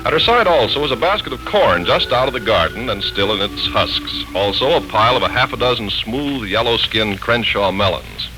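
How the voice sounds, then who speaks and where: An American man announcing and narrating from a script in polished, deliberate 1940s radio style. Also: lowish voice, lively intonation, music in the background, poor audio.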